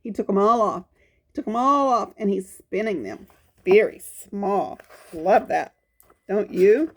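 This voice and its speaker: A woman's voice: mid-range voice, expressive, unhurried. A woman reading a picture book aloud to young children, adding folksy exclamations and coaxing little questions as she goes. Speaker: children's storytime reader.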